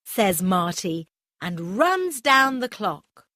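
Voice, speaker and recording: Very slow, lively woman storyteller. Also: medium pitch, no echo, clean studio sound. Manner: simple, deliberate storytelling for young children. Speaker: narrator. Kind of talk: scripted children's story narration.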